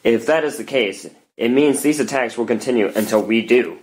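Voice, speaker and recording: Guy doing an earnest superhero leader, mid pitched voice, measured and reassuring, screenplay read. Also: lightly acted. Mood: stern, guarded. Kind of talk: dramatic screenplay table read.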